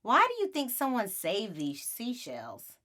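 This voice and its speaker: A woman's voice: medium pitch, huge pitch swings, unhurried, bright, forceful tone, exaggerated voice. Woman reading as a teasing, skeptical boy. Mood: doubting. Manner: taunting, contrary. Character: teasing boy, skeptical, spooky-minded sibling.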